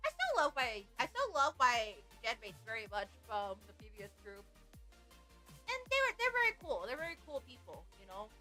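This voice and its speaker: A woman's voice: a little high, wildly swooping pitch, moderate pace, pushed and bright. A young woman from Hawaii chatting casually on her livestream, bubbly and rambling with lots of fillers. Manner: bubbly, rambling, many fillers, squealing greetings. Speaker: VTuber streamer. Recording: faint music behind. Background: faint background music.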